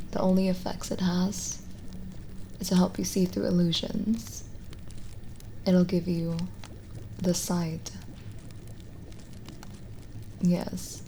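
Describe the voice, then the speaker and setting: Woman playing a slow-spoken, gentle lonely witch. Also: lowish voice, creaky, a little hiss or hum. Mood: gently reassuring. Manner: gentle, hesitant, explanatory. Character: lonely, kindly young witch healer, gently reassuring. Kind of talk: ASMR roleplay, intimate scripted monologue.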